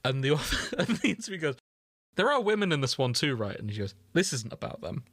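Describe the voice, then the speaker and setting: A man's voice: mid-range voice, sing-song, fast, fairly bright tone. A man co-hosting a podcast in casual comedic chat, with a wry, jokey manner and some swearing. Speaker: podcast co-host.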